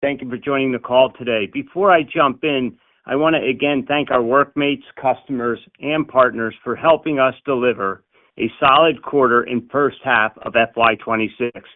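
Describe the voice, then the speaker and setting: A man's voice: medium pitch, moderate pace. A man speaking as a company chief executive, giving prepared call comments in emphatic, punchy phrases. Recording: narrowband conference phone line.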